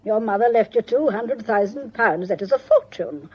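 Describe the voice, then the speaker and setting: Imperious old English grandmother, husky voice. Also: a little high, lively intonation, moderate pace. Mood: indignant. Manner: haughty, bossy, old-fashioned upper-class turn of phrase. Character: imperious, sharp-tongued old grandmother, nearly ninety. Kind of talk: radio drama acting. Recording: dull, band-limited old radio recording.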